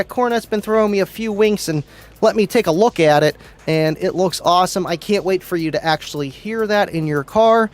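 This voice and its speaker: High-voiced excited man hosting a livestream. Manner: excited, chatty, laughs often, stumbles over names. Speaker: livestream host. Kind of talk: casual livestream chat reading viewer comments. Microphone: studio mic.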